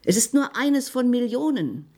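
An actress playing a solemn, detached figure in a German radio play. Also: female voice, fairly high pitch, very animated, moderate pace, darkish tone. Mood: solemn, questioning.

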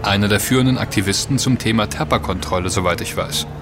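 Man acting composed male investigation leader, low voice, measured, matter-of-fact, audio drama, music clearly underneath. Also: lightly acted. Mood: probing.

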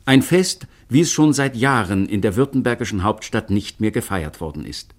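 A man narrating a historical radio play in a measured storytelling manner. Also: fairly low pitch, lively intonation. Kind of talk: scripted narration.

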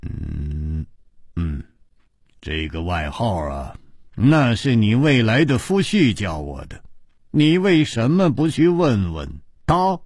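A man voicing a calm, wry, world-weary martial arts hero in a measured, hesitant drawl. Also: a little high, no room sound, clean studio sound, exaggerated voice. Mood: deadpan, evasive.

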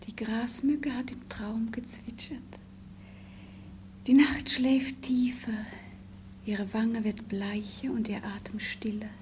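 Frail dreamy princess, soft dark breathy almost whispering, sad and poetic, old hissy radio drama. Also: female voice, on the high side, fairly even intonation, slow pace. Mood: dreamy, hushed. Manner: hushed, poetic. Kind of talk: 1950s German stage-style radio drama acting. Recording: old broadcast with clear background noise.

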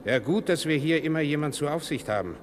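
Steady waterworks supervisor played by a man, noisy background. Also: on the high side, a little fast, natural voice. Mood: concerned, assured. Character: steady, practical male night waterworks supervisor. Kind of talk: German radio drama acting.

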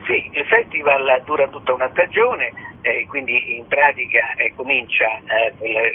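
A man giving interview answers as a festival director, haltingly, searching for his words. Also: medium pitch, husky. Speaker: theatre festival artistic director, radio guest. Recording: narrow phone line with background noise.

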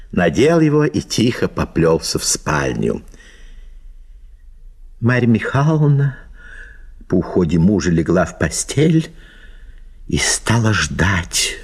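A man narrating a literary story in an expressive, theatrical way. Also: fairly low pitch, creaky, breathy edge.

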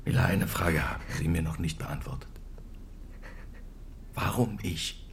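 An actor playing a captive German officer in a radio play, guarded and questioning. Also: male voice, low voice, unhurried, slight background noise. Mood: wary. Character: captive German officer, adult man, wary.

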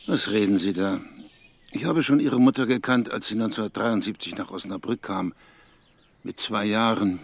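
An actor playing a gruff, bitter old man in a radio drama, brusque and given to solemn pathos. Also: fairly low pitch, normal speed. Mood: gruff, defensive. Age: older. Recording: faint outdoor noise with birdsong.

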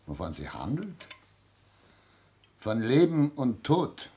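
An actor playing an aging, sardonic family patriarch in a German radio play, speaking with formal, probing irony. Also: male voice, mid-range voice, wildly swooping pitch, takes it slowly, dark tone. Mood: probing, ironic. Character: imperious aging patriarch, sardonic privy councillor and father.

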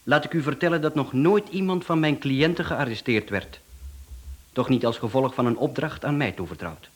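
A man's voice: medium pitch, normal speed, lightly acted. An actor playing a cocky, businesslike hitman in a radio play, formal and easily offended. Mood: indignant, boastful. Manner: formal, smooth-talking salesman patter, indignant.

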